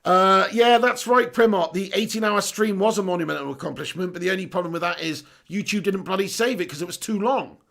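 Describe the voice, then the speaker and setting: Brief: high, gravelly male stream host, excitable, reads comments aloud. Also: fairly quick, few pauses. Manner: excitable, laughs often, casual swearing. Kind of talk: live stream chat reading viewer comments.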